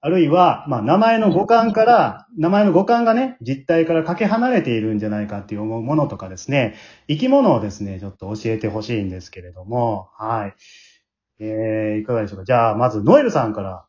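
Kansai accent host chatting casually, super lively up and down pitch, bit dull lo-fi audio. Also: fast. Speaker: host of an after-show talk. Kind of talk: casual moderated group chat with frequent backchannels.

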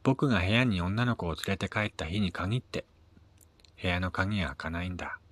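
A man narrating a first-person short story in a measured, dramatic reading with pauses. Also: low-pitched, fairly bright tone, no room sound. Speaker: amateur reader of his own short stories.

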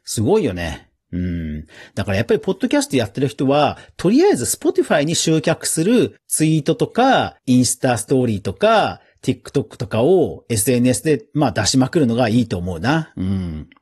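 Animated, slightly husky man hosting news. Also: mid-range voice, normal speed, hardly any pauses. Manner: chatty, informal asides with self-agreeing interjections. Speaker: podcast host. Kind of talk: casual news round-up commentary.

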